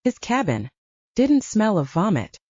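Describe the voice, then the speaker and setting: A woman narrates an audiobook story in a relaxed, dark-toned voice. Her pitch moves around a lot, and the recording is very dry and a little dull. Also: unhurried, no echo. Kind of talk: scripted fiction narration.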